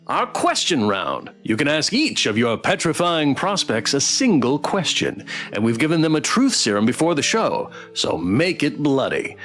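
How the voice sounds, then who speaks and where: Man putting on an animated game show announcer. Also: fairly high pitch. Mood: salesy, eager. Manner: theatrical announcer patter. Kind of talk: reading visual novel dialogue aloud.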